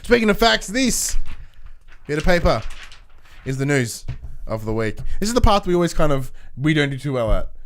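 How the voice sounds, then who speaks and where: Man, slightly high pitch, podcast co-host, casual chat, joking, loose and rambling. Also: big pitch swings, normal speed, slight background noise.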